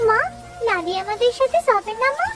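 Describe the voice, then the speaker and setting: A woman's voice: very high voice, lively intonation, normal speed. A woman voicing a frightened little village child in a cartoon, whiny, tearful and pleading. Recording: music behind the voice.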